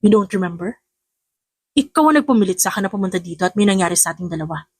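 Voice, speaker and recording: Lady doing a exasperated young man from a romance audiobook, smug teasing delivery, bit lower, very dry. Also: rapid-fire, breathy edge, dry recording, close to an everyday voice. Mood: annoyed.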